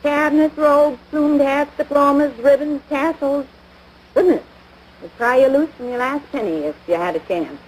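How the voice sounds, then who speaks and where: A woman's voice: high-pitched, moderate pace, natural voice. An actress playing a crabby small-town American housewife and mother in a domestic comedy, nagging in folksy, scornful talk. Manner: folksy ungrammatical speech, scornful, exasperated. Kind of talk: domestic radio-style situation comedy. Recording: noisy vintage broadcast recording.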